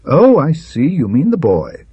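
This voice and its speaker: A man doing a testy, grumbling English gentleman. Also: a little high, huge pitch swings, a little slow, slightly rough, no echo, pitched above the performer's own voice, exaggerated voice. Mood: sharp. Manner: grumbling, curt, indignant. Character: glum, testy, irritable gentleman, easily rattled. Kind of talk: audiobook character voice in a ghost story.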